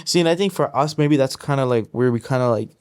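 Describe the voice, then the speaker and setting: A man's voice: medium pitch, fast talker, darkish tone, clean tone, no echo, clean studio sound. A man of thirty co-hosting a podcast, talking casually and rambling with lots of filler words. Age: 30s. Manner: rambling with many likes and you knows.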